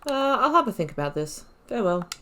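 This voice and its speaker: A woman's voice: mid-range voice, very animated, fast, pushed and bright. A woman doing casual playthrough commentary, reading dialogue choices aloud and musing to herself. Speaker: gaming YouTuber.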